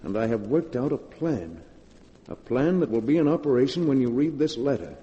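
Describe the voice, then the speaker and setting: A male actor plays a tense, guilty man caught in a cave in a 1940s radio horror drama. His voice is very dark and rounded, with urgent, theatrical delivery. The old recording is muffled and carries a long, cavernous reverb. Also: mid-range voice, expressive, moderate pace, natural voice. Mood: grave reading.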